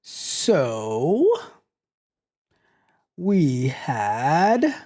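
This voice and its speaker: Guy in his 30s on a close boom mic at home, livestream rambling, jokey, keeps repeating himself. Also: very animated, extremely slow, a little mellow. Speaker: host, tech coach. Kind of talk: casual livestream chat. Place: home studio, seated in gaming chair. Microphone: boom mic close to mouth.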